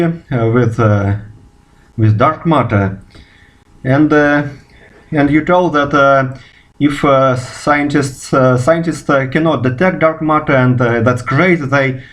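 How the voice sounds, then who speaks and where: A Russian man speaking English as a second language, a physicist talking unscripted to camera, stopping often on "uh" fillers and restarts. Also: medium pitch. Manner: halting, many "uh" fillers and restarts. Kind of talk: unscripted video response.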